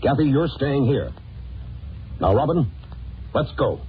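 An actor playing a stern, commanding masked crime fighter and husband in a superhero audio drama, speaking firmly and declaiming. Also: male voice, medium pitch, animated, unhurried, loud music behind the voice, lightly acted. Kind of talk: old-time superhero audio drama acting. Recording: tinny band-limited, noisy old audio.

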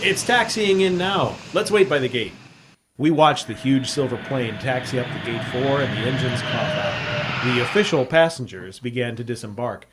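A man's voice: medium pitch, lively intonation, moderate pace, background noise, close to an everyday voice. An actor playing a hard-boiled, sly American freelance newspaperman, with a clipped, wry delivery in an old-time radio drama. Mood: tense narrating. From United States, San Francisco. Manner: clipped, wry, world-weary storytelling. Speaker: lead actor and narrator. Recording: vintage radio broadcast.

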